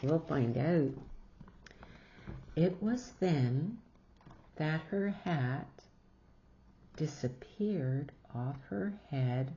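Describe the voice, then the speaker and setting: A woman reading a children's story aloud, theatrical and expressive, exclaiming reactions as she goes. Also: low-pitched, drawn-out words, pauses often. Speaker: storybook reader. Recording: dull lo-fi recording.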